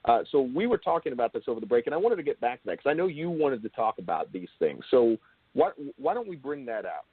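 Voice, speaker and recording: A man hosting a conversational interview, halting as he circles back and repeats his phrases. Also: medium pitch, big pitch swings, husky, no room sound, phone-quality sound. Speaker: show host and interviewer.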